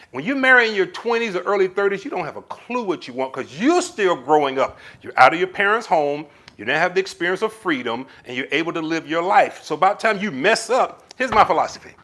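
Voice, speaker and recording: A man hosting a casual live stream, reading viewer comments and giving relationship advice, calling viewers baby. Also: sits high. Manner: animated, addresses viewers with pet names like baby. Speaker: livestream host answering viewer comments.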